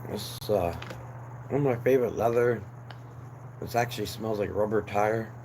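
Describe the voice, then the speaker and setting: Low-voiced man, hoarse, chatting aimlessly. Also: normal speed, low-quality recording. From New Jersey, USA. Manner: rambling, relaxed, many fillers and restarts. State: losing his voice. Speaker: livestream host. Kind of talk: casual live chat. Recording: clear background noise.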